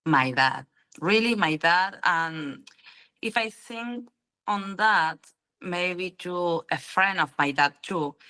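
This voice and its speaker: A young woman from Argentina with an Argentine Spanish accent, a guest in an interview, answering in halting English full of ums and "you know.". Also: fairly low pitch, lively intonation, bright, forceful tone, clear, resonant tone. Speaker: interview guest, pool player.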